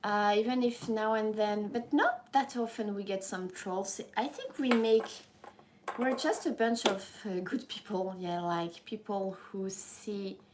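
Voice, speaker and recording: A woman's voice: mid-range voice, a little slow, slight background noise. A woman in her thirties with a French accent, chatting casually with viewers on a live stream, rambling and frank with frequent restarts. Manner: rambling, self-correcting, frank, laughs easily. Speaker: YouTuber hosting a live stream. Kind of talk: casual live-stream chat reading comments.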